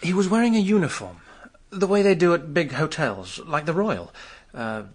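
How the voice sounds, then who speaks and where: A man's voice: on the high side, animated, normal speed, slightly rough. An actor playing a vague, easygoing British village drunk in a radio drama, rambling hesitantly as he recalls things. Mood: vague, matter-of-fact.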